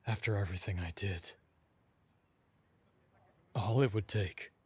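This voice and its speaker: Man acting a weakened, proud vampire, slow and low. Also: breathy edge, exaggerated voice. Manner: formal, archaic, solemn phrasing. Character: weakened regal male vampire, remorseful, formal and proud. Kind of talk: dramatic ASMR audio roleplay acting.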